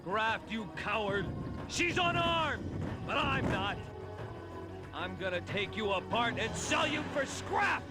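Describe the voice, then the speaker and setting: Young heroic vigilante, animated series: protective, commanding, swings widely in pitch over loud score. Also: male voice, slow pace. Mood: angry. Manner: commanding, dramatic. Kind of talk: animated action series dialogue. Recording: loud music under dialogue.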